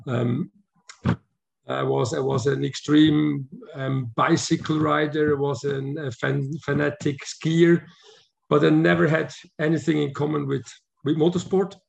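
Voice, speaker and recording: A man's voice: on the high side, flat delivery, measured pace, creaky. A man in his fifties from Switzerland, a guest answering interview questions in a thoughtful way with many restarts. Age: 50s. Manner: thoughtful, frequent restarts and "um"s, chuckles easily. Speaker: guest, motorsport sporting director.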